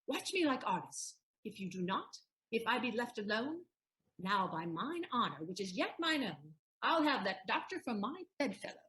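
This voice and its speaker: A woman playing a proud, sharp-witted wife in a Shakespearean audio play, teasingly indignant in declamatory verse. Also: on the high side, very animated, normal speed. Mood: taunting, defiant.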